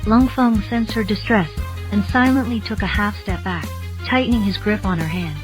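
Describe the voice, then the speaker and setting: A woman's voice: medium pitch, moderate pace. A woman narrating a scripted story in the style of an audiobook. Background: faint music.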